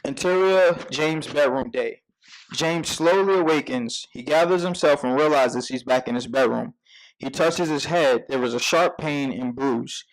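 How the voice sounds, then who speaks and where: A man's voice: medium pitch, a little slow, rough recording. A man reading out scene headings and action as narrator at a scripted table read.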